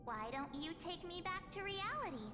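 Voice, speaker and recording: High-pitched bubbly young girl companion, chatty and upbeat, echoey with game music under it. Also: big pitch swings, moderate pace. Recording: roomy game audio with reverb.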